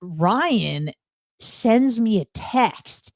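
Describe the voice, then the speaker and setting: A young woman chatting casually and telling gossipy stories in an animated, blunt, teasing way, acting out dialogue as she goes. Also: a little high, extremely slow, dry recording. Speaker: radio show co-host. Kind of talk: casual chat, gossipy storytelling.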